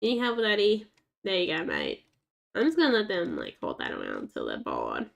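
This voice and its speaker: A woman's voice: lowish voice, wildly swooping pitch, a little fast, gravelly. A woman with a naturally scratchy voice chatting casually to herself and her pets in the game as she plays. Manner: rambling, chatty, talks to herself and the game's dogs. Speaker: game streamer. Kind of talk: casual gameplay commentary.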